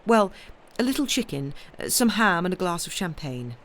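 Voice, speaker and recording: A woman's voice: on the low side, sing-song, normal speed. Female narrator as a coy languid society lady, capricious, from a storybook. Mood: indifferent.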